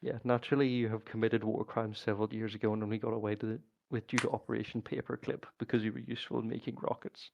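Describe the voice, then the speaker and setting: A man's voice: on the low side, little pitch movement, fast talker, gravelly, hardly any pauses, dull audio. A man playing in a tabletop game, joking and hesitant, with faint noise behind him. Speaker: player. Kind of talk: casual chat at a tabletop game.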